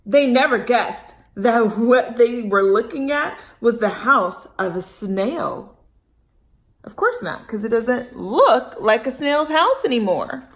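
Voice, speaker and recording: A woman with a fairly high voice reads a storybook to young children. Her delivery is expressive, with stretched emphasis on key words, and she talks straight to her small listeners as a storyteller would. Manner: animated, drawn-out emphasis, addresses young listeners directly. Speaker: storyteller reading a picture book aloud to children. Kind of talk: expressive read-aloud with chatty asides and questions.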